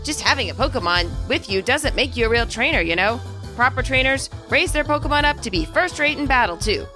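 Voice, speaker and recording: A woman's voice: a little high, lively intonation, brisk, sharp tone, faint music behind. A woman voicing a confident young male champion, a showy big brother giving declamatory encouragement in a game story. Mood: proud, encouraging.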